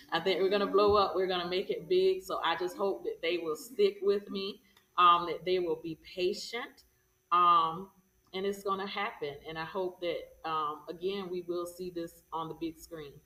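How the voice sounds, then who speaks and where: A woman with a bright, forceful voice that stays very even in pitch answers as an interview guest. Her manner is measured and hopeful. The sound is echoey and far from the mic. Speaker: stage play creator and interview guest. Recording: echoey room, distant microphone.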